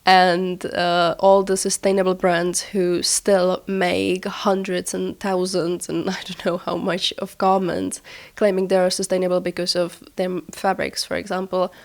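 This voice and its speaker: A woman's voice: on the low side, flat delivery, unhurried. Woman podcaster talking with a head cold. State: has a cold. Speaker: podcast host. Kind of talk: casual explanatory podcast commentary.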